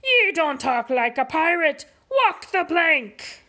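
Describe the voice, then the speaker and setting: An impatient pirate captain from a picture book barks out pirate slang and rhyming chants, as a woman puts him on. The voice sits a bit high and bright, and the delivery is slow and emphatic. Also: gravelly, exaggerated voice. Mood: blustering. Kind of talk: storybook read-aloud.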